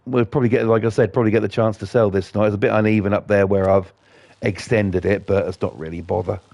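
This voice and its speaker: A man hosting casual gameplay commentary, blunt and defiant, his voice croaky from a cold. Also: lowish voice, steady tone, quick pace, darkish tone, no room sound. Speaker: gaming channel host.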